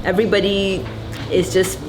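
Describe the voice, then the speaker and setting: A woman's voice: medium pitch, level tone, normal speed, rough recording. A woman with a Malaysian accent chatting through her interview answers with fillers and light laughter. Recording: background music throughout.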